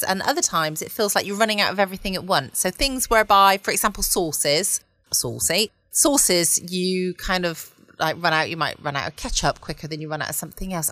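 A woman's voice: lowish voice, bright, forceful tone, no room sound. A woman hosting a bedtime podcast from home in an informal, rambling, chatty way. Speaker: podcast host. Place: at home, close dry recording.

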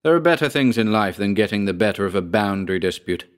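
An injured nobleman, performed by a man reading a tale, proud yet relenting. The voice sits on the low side, with a weary, measured earnestness suited to a storybook. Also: expressive, clean tone, natural voice. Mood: weary, earnest.

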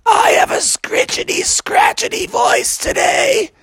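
A man voicing a young boy frog in a storybook, earnest and polite, with a strained, scratchy falsetto. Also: animated, slow pace, gravelly, a bit bright, no room sound, shouting, studio quality, exaggerated voice. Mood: strained, distressed.

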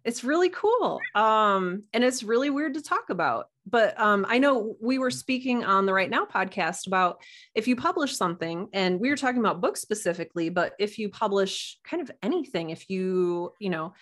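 Woman, medium pitch, casual podcast chat, animated storyteller, emphatic, halting phrasing. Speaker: podcast guest, writer and podcaster. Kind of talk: casual chat, personal anecdotes. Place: remote video call.

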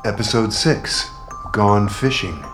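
A man's voice: lowish voice, lively intonation, slow pace. A man announcing the title of a radio play in a scripted style. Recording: music playing over the voice.

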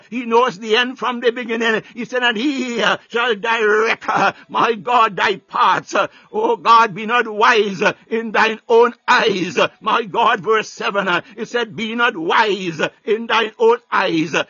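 A very high-pitched man delivers a fervent sermon in a dry, dull-sounding recording. He is emphatic and forceful, repeating phrases and punctuating his preaching and prayer with exclamations of praise. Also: dry recording.

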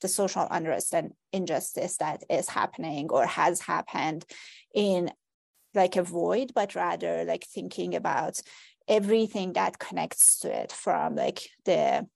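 A woman whose first language is Persian talks about her work as a guest author. Her voice sits low and carries a gravelly fry. She keeps checking in with "right?" and fills her pauses with "like" as she reasons through ideas. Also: darkish tone. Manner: reflective, frequent "like" and "right?" fillers. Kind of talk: academic conversation.

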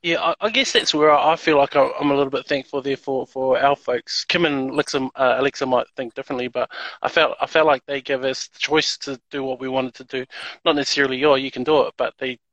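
A man hosting a casual podcast, relaxed and asking gentle questions. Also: mid-range voice, quick pace, dry recording. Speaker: podcast host. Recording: dry, dull lo-fi.

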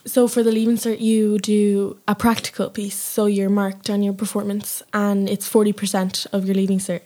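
A woman's voice: mid-range voice, flat delivery, moderate pace, warm tone. A teenage girl answering interview questions shyly, with many hesitations. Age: teens. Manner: shy, hesitant, many ums. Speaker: guest, young footballer. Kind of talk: casual interview.

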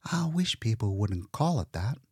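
A prim, fastidious woman voiced by a male narrator gently corrects others in an audiobook. The delivery is careful and lilting, with the words recorded dry. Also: lowish voice, sing-song, husky, dry recording, voice pushed up, exaggerated voice. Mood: prim, disapproving. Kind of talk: audiobook character dialogue.

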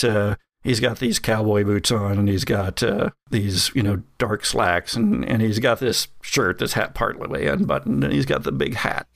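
Creaky-voiced man running a tabletop game. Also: mid-range voice, no echo, clean studio sound. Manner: halting, searching for words. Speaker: game master. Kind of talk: improvised tabletop game narration, casual.

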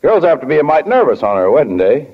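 A man's voice: fairly high pitch, very animated, fast, husky, heavily acted. An actor playing a kindly, flustered middle-aged small-town father in 1940s radio drama, hesitant and folksy, often fumbling for words. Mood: awkward, hesitant. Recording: dull, lo-fi 1940 radio recording.

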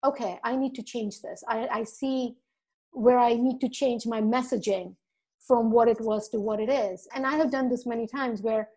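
Earnest woman podcast guest, high voice. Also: fairly even intonation, normal speed, mellow. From India, raised in the U.S. Virgin Islands. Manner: earnest, speaks from the heart, acts out quoted dialogue. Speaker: podcast guest, dental marketing coach. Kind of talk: casual podcast conversation.

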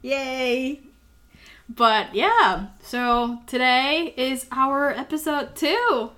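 Bright-voiced, giggly young woman. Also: sits high, extremely slow. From Thailand. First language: Thai. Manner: giggly, chatty, many fillers. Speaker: podcast co-host. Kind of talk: casual chat.

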